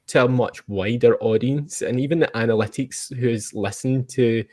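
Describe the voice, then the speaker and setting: Mid-pitched man hosting a chat. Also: a little mellow. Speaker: host, interviewer. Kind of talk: casual interview chat.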